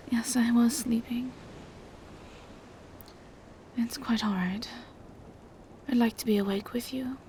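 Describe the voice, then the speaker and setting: Woman as a slow, whispery, bashful vampire. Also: a little high, steady tone, a little hiss or hum. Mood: sleepy, soft. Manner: soft, hesitant, breathy half-whispers. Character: gentle, bashful female vampire in monstrous true form, lovestruck. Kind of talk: intimate roleplay audio acting.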